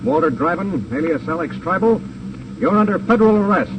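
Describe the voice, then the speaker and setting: High-voiced, dry federal investigator. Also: male voice, expressive, fairly quick, lo-fi sound. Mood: official, satisfied. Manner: official, dryly wry. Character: dry, assured male federal immigration investigator. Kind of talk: 1950s radio crime acting. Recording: noisy 1950s radio recording.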